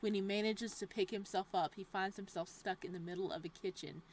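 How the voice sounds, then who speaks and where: A woman reading a horror visual novel's text aloud in a hushed, steady voice. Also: mid-range voice, a little fast, slight background noise, rough recording.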